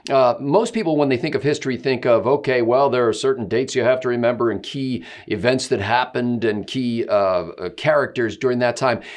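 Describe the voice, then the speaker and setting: A man interviewing someone conversationally, putting his questions in measured, deliberate phrases. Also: mid-range voice.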